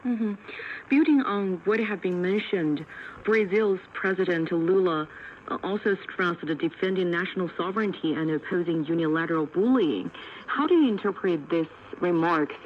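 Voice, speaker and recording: A woman's voice: on the low side, normal speed. A woman hosting a formal broadcast interview, posing questions over shortwave radio. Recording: dull lo-fi shortwave radio with faint noise.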